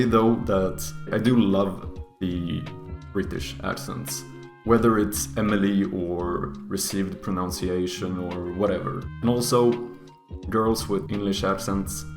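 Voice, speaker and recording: Young man, Swedish accent, very low voice, gravelly fry, joking commentary, echoey room. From Sweden. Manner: joking, teasing, mock-harsh. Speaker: YouTuber. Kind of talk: casual tier-list commentary.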